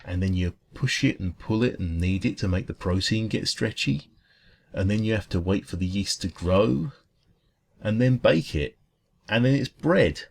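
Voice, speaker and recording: A man voicing a friendly, food-loving dragon who bakes at a café, chatting gently and earnestly in a rambling ASMR roleplay. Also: fairly low pitch, lively intonation, normal speed, vocal fry. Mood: friendly, interested, then earnest wonder. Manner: gentle, rambling, hesitant, earnest enthusiasm about baking. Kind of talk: ASMR roleplay, soft casual chat.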